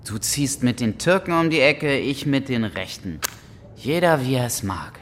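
A sneering, cocky thug acted by a man taunts with open contempt at a medium pitch. It is gritty radio-play dialogue, with faint rumbling noise behind the voice. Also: big pitch swings, moderate pace, natural voice. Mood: mocking, contemptuous. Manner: mocking, provocative. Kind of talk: audio drama dialogue acting.

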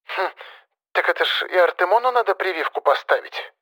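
A man's voice: high voice, lively intonation, fairly quick, sharp tone, pitched above the performer's own voice, heavily acted, rough recording. A man voicing an anxious, nagging elderly mother in an audiobook story, plaintive and lecturing, often sighing. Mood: doubtful.

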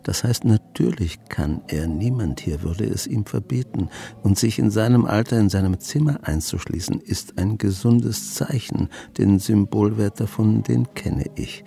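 A man's voice: lowish voice, unhurried, vocal fry, mellow, faint music behind. An actor playing a grumpy old misanthropic grandfather in a German radio drama, with a sardonic, brooding, dramatic delivery. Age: older. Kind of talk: German radio drama acting, dialogue and inner monologue.

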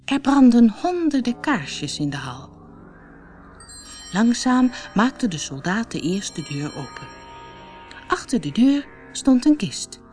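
Slow, animated woman storyteller. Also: a little high, husky, soft background music. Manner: expressive storytelling for children. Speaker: audiobook storyteller. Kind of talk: scripted fairy-tale narration.

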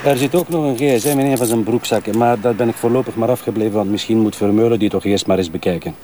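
A man's voice: on the low side, fast, warm tone, slight background noise, lightly acted. A man playing a matter-of-fact police detective with a Flemish accent in a detective drama. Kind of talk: naturalistic detective drama dialogue.

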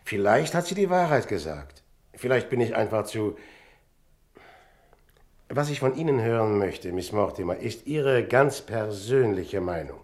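An actor in a radio drama playing a polite, probing man with a measured, guarded manner. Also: lowish voice, lively intonation, darkish tone. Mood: earnest, probing. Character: polite, probing man investigating his brother's death, Mr. Bristol.

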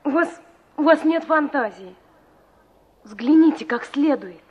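Teenage village girl, high voice, earnest, insistent, stubborn, dull old radio-drama recording. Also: lively intonation, measured pace. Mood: earnest, dreamy. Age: teenage character. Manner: insistent, prim, direct. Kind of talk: Soviet radio play acting.

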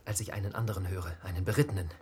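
An actor playing a bold, stubborn young petty thief in a radio drama, terse and conspiratorial. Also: male voice, low-pitched, rapid-fire, darkish tone, a little hiss or hum, natural voice. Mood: breathless, recounting.

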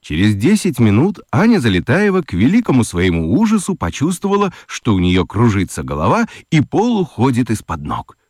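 A man narrating a children's radio play with expressive storytelling. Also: medium pitch, brisk, hardly any pauses, no room sound, clean studio sound.

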